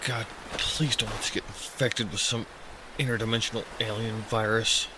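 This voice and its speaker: A man acting in an audio drama as an injured wisecracker putting on a brave front, flippant and excitable. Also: fairly low pitch, moderate pace, natural voice. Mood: flippant bravado. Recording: noisy outdoor ambience.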